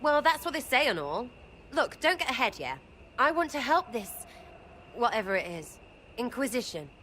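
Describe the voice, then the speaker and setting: A woman's voice: on the high side, very animated, normal speed, pushed and bright, slight background noise. A woman voicing a cheeky, irreverent young thief archer in a video game cutscene, brash and crude in her speech. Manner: brash, mocking, slangy and crude.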